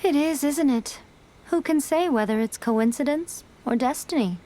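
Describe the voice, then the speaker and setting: A woman's voice: high-pitched, moderate pace, heavy background noise, lightly acted. A woman voicing a sly, playful fox-spirit woman in a game, with a poised, teasing way of speaking. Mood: calm. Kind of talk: game dialogue.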